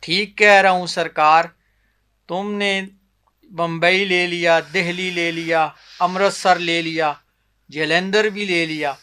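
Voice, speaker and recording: A sucking-up but cheeky junior officer in a radio play, high bright voice. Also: male voice, steady tone, slow pace, clean tone, full character voice. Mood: cheeky, needling. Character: cheeky, sycophantic junior Indian army officer. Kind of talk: radio drama acting.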